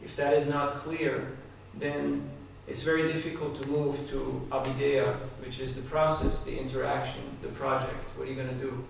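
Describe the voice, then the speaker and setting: A man with a mid-pitched, gravelly voice delivers a devotional talk, turning often to his listeners with direct questions. He is recorded from a distance in a large, very reverberant hall. A faint hiss sits under the recording. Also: flat delivery, normal speed, few pauses. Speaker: spiritual speaker. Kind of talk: devotional talk to a live audience. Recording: echoey hall, distant mic, faint noise.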